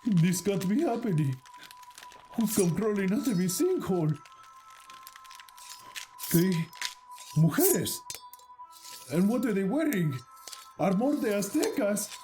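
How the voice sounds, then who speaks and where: A man's voice: high-pitched, big pitch swings, takes it slowly, long pauses, mellow, faint music behind, exaggerated voice. A man playing a lonely, tipsy farmer in an audio drama, talking to himself with Spanish words mixed in. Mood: stunned.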